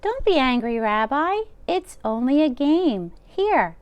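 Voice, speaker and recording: A woman's voice: high-pitched, big pitch swings, takes it slowly, smooth, no echo, lo-fi sound, pitched above the performer's own voice, full character voice. A woman voicing a kindly, fussing grandmother of ninety-seven who is hard of hearing, in a read-aloud storybook. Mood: chatty. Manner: cheerful, fussing hostess.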